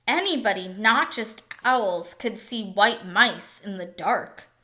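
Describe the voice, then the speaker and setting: Woman as young male mouse, eager, cocky, inquisitive show-off, bright voice, storybook character. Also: mid-range voice, animated, slow.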